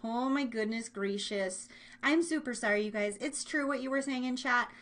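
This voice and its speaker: A woman's voice: a little high, moderate pace, a bit bright. An American woman casually hosting a live online show, rambling along in a bubbly, informal way with her listeners. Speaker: host of a live online radio show and podcast.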